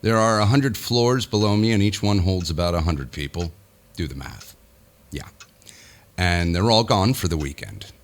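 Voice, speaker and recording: A man's voice: low voice, lively intonation, normal speed. An actor playing a weary, self-important businessman who confides his nightmare theatrically in a horror audio drama. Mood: brooding, ominous. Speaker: lead actor narrating in character. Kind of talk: dramatic first-person audio horror narration.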